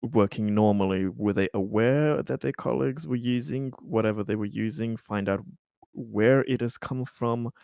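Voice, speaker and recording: A man playing a tabletop roleplaying game, talking through his plans in a halting, deliberate and rather formal way. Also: mid-range voice, creaky, dark tone, clear, resonant tone, no echo, studio quality. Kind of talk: improvised in-character discussion.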